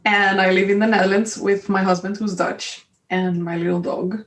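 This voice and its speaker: A woman's voice: medium pitch, normal speed, roomy sound, recorded from a distance. A Colombian woman with a Spanish accent, guest on a podcast, chatting openly and laughing often. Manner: warm, giggly, expressive. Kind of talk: casual conversation.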